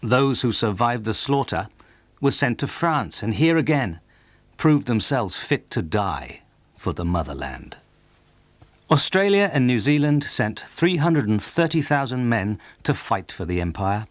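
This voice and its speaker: A man's voice: medium pitch, sing-song, a little slow, no echo. A man reading scripted narration for a documentary as its narrator.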